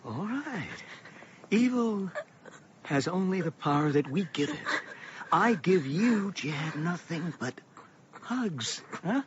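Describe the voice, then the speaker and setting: Earnest dad in a radio play, emphatic, voice goes up and down a lot, bit muffled. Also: male voice, sits high, big pitch swings, a little hiss or hum, exaggerated voice. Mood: taunting, intense. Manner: theatrical, deliberate, emphatic. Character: earnest ageing father defying evil with tenderness. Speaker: actor in radio drama. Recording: dull lo-fi audio with music.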